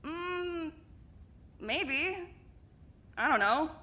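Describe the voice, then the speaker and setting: A woman's voice: high voice, expressive, drawn-out words, bright, forceful tone, full character voice. A woman playing a blunt, swearing young woman. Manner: blunt, casual, swears freely. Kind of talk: fan audio drama acting.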